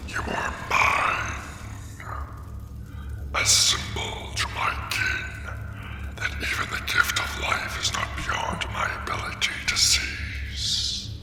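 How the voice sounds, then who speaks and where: A man voicing a haughty, possessive ancient gold dragon in a formal, menacing, breathy growl for a fantasy ASMR roleplay. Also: lightly acted. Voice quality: very deep, gravelly, breathy growl. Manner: pompous, slow, menacing, formal. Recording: echoing cavern-like reverb, background music. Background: dragon lair ambience.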